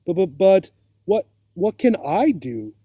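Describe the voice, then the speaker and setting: Storybook crocodile mother, male reader: big, obliging, flustered, pitched up, mellow edges. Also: big pitch swings, slow, dry recording, clean studio sound, heavily acted.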